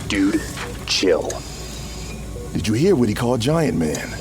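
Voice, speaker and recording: A flippant superhero played by a man talks over clear music. His phrases are terse and casual, with hugely varied intonation, in audio drama style. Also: lowish voice, sing-song, slow pace. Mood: flippant, startled.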